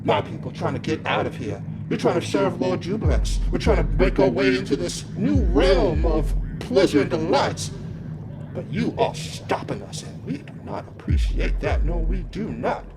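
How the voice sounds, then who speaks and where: A man's voice: fairly high pitch, very animated, over loud music. Man playing a pompous grizzled old cult wizard. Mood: indignant, gloating. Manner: pompous, faux-genteel, drawn-out phrasing. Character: grizzled old male slime-cult wizard, smug, gloating zealot.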